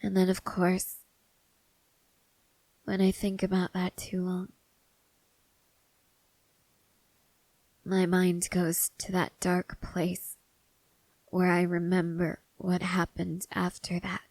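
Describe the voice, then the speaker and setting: A woman playing a haunted, restless young woman soldier in an intimate ASMR character roleplay, speaking haltingly and broodingly with pauses. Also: on the low side, completely flat delivery, darkish tone, no room sound, rough recording. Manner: slow, halting, brooding delivery with long pauses.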